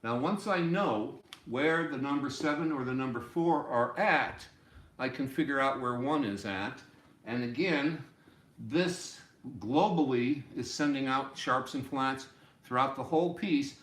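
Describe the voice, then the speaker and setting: Slow-talking older man teaching, roomy sound. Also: medium pitch, a bit bright. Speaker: music teacher. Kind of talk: informal instructional explanation at a whiteboard. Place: small cluttered indoor music room. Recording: roomy, echoey home room sound.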